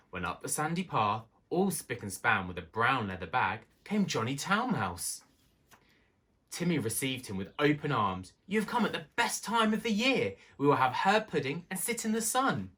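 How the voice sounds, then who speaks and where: A man reading a children's tale aloud as an expressive storybook narrator. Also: lowish voice. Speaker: story reader.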